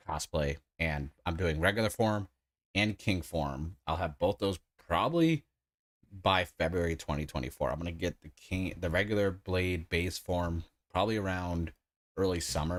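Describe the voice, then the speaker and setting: Man, 30s, extremely low voice, chatty, rambling livestream builder. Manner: rambling, enthusiastic, frequent "like" fillers. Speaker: streamer building a model kit. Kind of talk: casual livestream chat while building.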